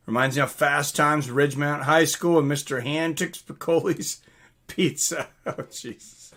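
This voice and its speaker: Guy hosting a live stream, kinda high really bright voice, just chatting and reading comments. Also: steady tone, a little slow. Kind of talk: casual live reaction chat, reading out viewer comments.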